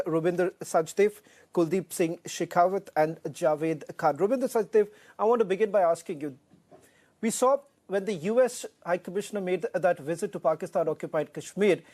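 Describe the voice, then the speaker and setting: A man's voice: sits high, darkish tone. A man with an Indian accent anchoring live television news, speaking in emphatic, formal phrasing.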